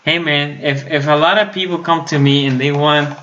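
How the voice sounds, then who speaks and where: A man's voice: fairly high pitch, fairly even intonation, normal speed, bright, forceful tone, dull audio. A man from Puerto Rico whose first language is Spanish, hosting a casual sports live stream, reading the chat and restarting his sentences often. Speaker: sports YouTube host.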